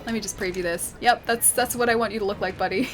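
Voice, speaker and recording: Australian woman streamer, mid-pitched, chatty and giggly, game music underneath. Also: quick pace. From Western Australia. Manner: chatty, laughs often, reads and answers chat. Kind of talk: casual gameplay chat with viewers.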